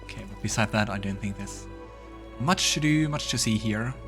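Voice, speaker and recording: A man's voice: fairly low pitch, normal speed. A man giving casual let's play commentary and narrating scenes from a fantasy game. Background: game music.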